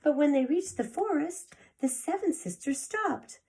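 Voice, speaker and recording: A woman's voice: high voice, expressive, a little slow, warm tone. A woman reading a picture book aloud to young children theatrically, like a preschool teacher, with asides to the listeners.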